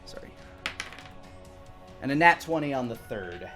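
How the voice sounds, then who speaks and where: A man's voice: medium pitch, very animated, a little slow, sharp tone. A man in his thirties running a live tabletop game as game master, improvising narration of the action. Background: music.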